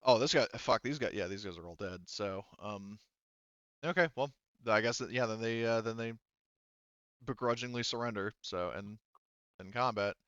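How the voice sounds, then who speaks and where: A man working as game master, thinking aloud haltingly and casually swearing as he narrates a tabletop roleplaying game. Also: fairly low pitch, a bit bright, clean tone, no echo, highs cut off.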